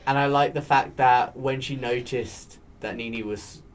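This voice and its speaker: A man hosting a casual podcast chat, rambling with plenty of fillers in a soft, soothing voice. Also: mid-range voice, fairly even intonation, measured pace. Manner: rambling, chatty, with many fillers. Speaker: podcast host. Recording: faint background noise.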